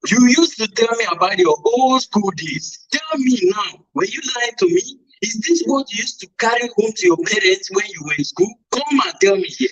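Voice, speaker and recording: A man's voice: sits high, lively intonation, normal speed, few pauses, fairly bright tone, lo-fi sound. A man with a Nigerian accent playing an angry, domineering father who scolds and badgers in a radio drama. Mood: angry scolding. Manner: scolding, badgering with repeated questions. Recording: off-mic, distant voice.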